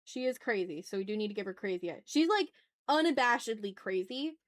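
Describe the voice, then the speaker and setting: A woman's voice: mid-range voice, animated, a little fast. A woman hosting a casual drawing livestream, playfully chatting with viewers and thinking aloud as she works. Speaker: art livestream host.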